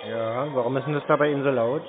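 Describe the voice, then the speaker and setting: A man on a phone call, slow and muddled, stubbornly complaining to the caller. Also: medium pitch, fairly even intonation, crowd noise. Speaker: call recipient stringing scammers along. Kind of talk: prank phone call with scammers. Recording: tinny, band-limited phone line.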